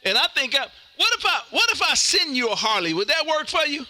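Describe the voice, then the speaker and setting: A man's voice: very high-pitched, big pitch swings, brisk, background noise. An American man hosting an amateur radio show, chatting on air in an exuberant, folksy, emphatic way. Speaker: ham radio show host.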